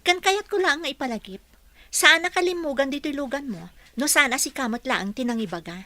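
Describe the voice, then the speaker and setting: A woman's voice: high voice, animated, brisk, no room sound. A woman acting a defiant, sassy young housekeeper in a Filipino radio drama, with an Ilocano accent. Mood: indignant. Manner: sassy, argumentative. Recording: loud vehicle noise behind.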